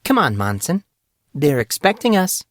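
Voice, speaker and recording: A man's voice: a little high, sing-song, normal speed, dry recording, studio quality, voice pushed up. A man voicing a poised, teasing young woman celebrity in a narrated fantasy story. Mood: brisk, urging.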